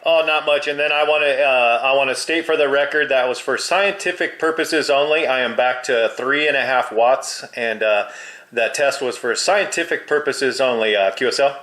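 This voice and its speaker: A man's voice: medium pitch, moderate pace, sharp tone. A man who is a hobby radio operator, chatting casually with radio jargon.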